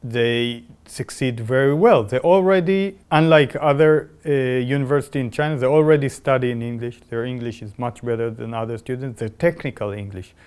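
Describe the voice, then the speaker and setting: Male academic, Israeli accent, mid-pitched, enthusiastic podcast conversation with non-native phrasing. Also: normal speed, hardly any pauses, a little mellow, clear, resonant tone. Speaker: biotechnology professor.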